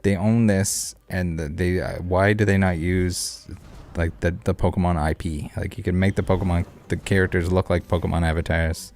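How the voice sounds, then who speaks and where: Low-voiced man live streaming casually. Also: fairly even intonation, moderate pace, darkish tone. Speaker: streamer, host of a card game live stream. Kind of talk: casual live game commentary and chat with viewers. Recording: music playing behind the voice. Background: background music.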